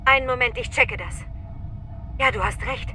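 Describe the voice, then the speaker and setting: A woman playing an alert, capable young space-crew pilot in a German sci-fi audio drama, crisp and businesslike. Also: on the high side, normal speed, pushed and bright, reverberant, big-room sound. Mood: alert, focused.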